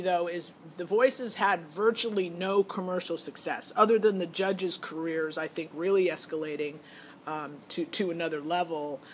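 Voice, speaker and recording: Middle-aged woman rambling casually over background noise. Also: fairly low pitch. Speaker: parent vlogger reviewing a singing show audition. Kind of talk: casual rambling review to camera.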